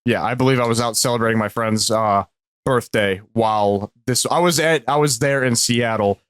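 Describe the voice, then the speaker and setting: A man with a middling pitch chats informally as a podcast guest. The recording is dry, with hardly any room sound. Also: normal speed, a bit bright, clean tone, dry recording. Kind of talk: casual chat.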